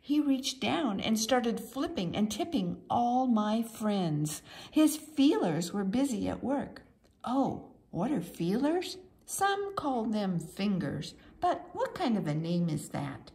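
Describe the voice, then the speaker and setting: A high-voiced woman reads a children's story at an unhurried pace. Her pitch swings widely, with theatrical, sing-song emphasis on key words. She narrates expressively, as if to small children. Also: very animated, gravelly, mellow.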